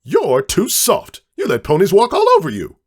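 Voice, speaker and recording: Man voicing a high-pitched, blurting, exasperated cartoon stallion. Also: wildly swooping pitch, normal speed, breathy edge, full character voice. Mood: exasperated lecturing. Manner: blunt, exasperated rambling. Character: male farm pony, compulsively blurting blunt scolding thoughts.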